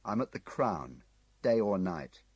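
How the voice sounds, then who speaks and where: A pushy, mocking young agent, as a male audiobook reader puts him on, with a deep, husky voice pushed up from the reader's low register and a lively, swinging pitch. The delivery is jabbing and taunting. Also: takes it slowly, dry recording, lo-fi sound, full character voice.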